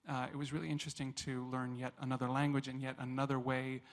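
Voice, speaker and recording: A singer with a classical and opera background, giving thoughtful, measured answers in an interview. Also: male voice, medium pitch. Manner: reflective, measured.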